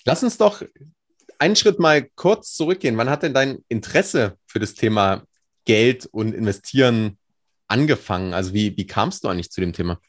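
Male podcast host, mid-pitched voice, friendly questions, dry studio sound. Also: animated, moderate pace, dry recording. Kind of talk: podcast interview.

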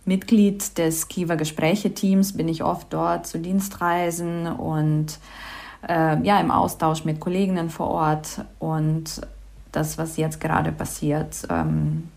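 A woman from Ukraine, a guest on a podcast, speaking haltingly with many fillers and audible emotion. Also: low voice, little pitch movement, creaky, darkish tone. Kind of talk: podcast interview.

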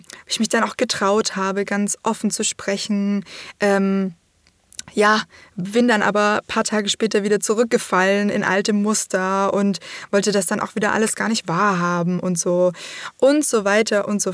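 A woman with a slightly hoarse voice talks candidly and casually, as a podcast host, sprinkling in fillers and swear words. Her pitch sits in the middle range. The recording is dry and close, with no room sound. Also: no room sound. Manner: open, casual, swears freely, many fillers. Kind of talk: candid personal monologue.

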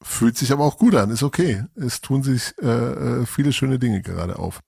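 Gravelly husky man in his forties. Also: medium pitch, normal speed, no echo. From Germany. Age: 40s. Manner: relaxed, reflective, many fillers. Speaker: podcast co-host. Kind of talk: casual podcast conversation.

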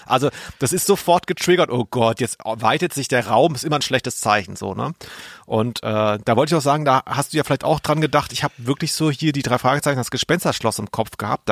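A man with a gravelly, creaky voice of average pitch co-hosts a podcast. He chats casually and humorously, wandering into asides, recorded close and dry. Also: fairly bright tone, no echo. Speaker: podcast co-host.

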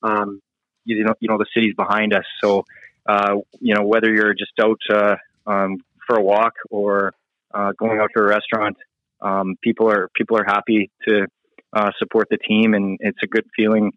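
Low-voiced man, dull call sound. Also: completely flat delivery, no room sound. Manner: hesitant, halting, frequent you-knows. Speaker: professional ice hockey player being interviewed. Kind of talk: casual interview answers.

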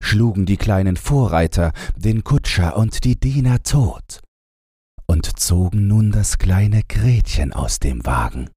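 A man's voice: fairly low pitch, expressive, takes it slowly, warm tone, breathy edge, no echo, clean studio sound. A man reading a scripted fairy tale as an audiobook narrator, in a bedtime storytelling style.

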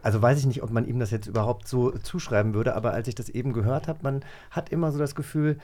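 A man co-hosts a casual talk show. His voice is mid-pitched, mellow and relaxed. He talks in loose, conversational sentences. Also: quick pace. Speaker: podcast co-host. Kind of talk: casual interview chat.

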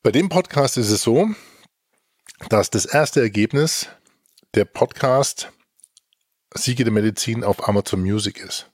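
A man's voice: on the low side, unhurried, long pauses, dry recording, clean studio sound. A man co-hosting a podcast in casual chat, informal and dropping in English phrases. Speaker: podcast co-host and reviewer.

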